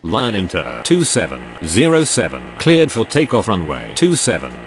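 A deep male air traffic voice , acted by a man for a game. He gives procedural radio phrases with strongly swinging intonation, over faint ambient noise. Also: huge pitch swings, moderate pace. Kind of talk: scripted aviation radio phraseology.

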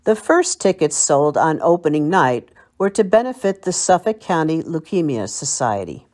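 An older American woman reads scripted narration as a local history presenter. Her voice sits a little low and moves up and down a great deal in pitch. She tells it like someone reminiscing. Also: big pitch swings, normal speed, darkish tone. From Long Island, United States. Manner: storytelling, reminiscing.

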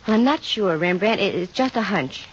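An actress with an American accent playing a wry, self-assured private investigator in a 1950 radio drama, brisk and dry. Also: female voice, medium pitch, expressive, a little hiss or hum, natural voice. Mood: brisk, confident. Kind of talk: 1950 radio detective drama acting, with first-person narration. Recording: muffled vintage 1950 radio recording with music.

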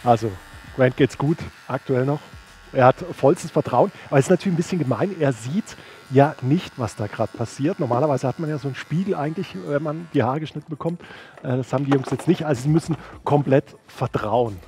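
A man in his thirties hosts and puts questions to guests in a mid-pitched voice. Music plays behind him, along with the buzz of electric clippers. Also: brisk, warm tone. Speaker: host and interviewer. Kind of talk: presenter interviewing at a barber event. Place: large indoor sports hall. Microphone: headset.

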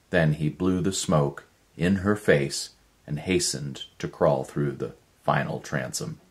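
A middle-aged man reading a story aloud as a narrator, in a dramatic scripted style. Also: very deep voice. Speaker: audiobook narrator, voice actor. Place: indoors, living room.

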